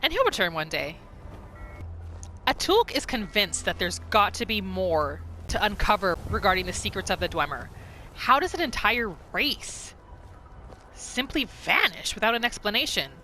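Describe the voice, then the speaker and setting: A woman with a bright, forceful voice at a middle pitch, narrating a roleplay game as a casual story. Faint ambience sits behind her. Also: very animated, moderate pace, a little hiss or hum. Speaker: gamer narrating a roleplay series.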